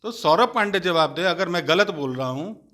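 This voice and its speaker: A man's voice: high-pitched, lively intonation, normal speed, pushed and bright. A man giving combative political interview answers as a party spokesperson, emphatic and accusatory, with scorn for his rivals.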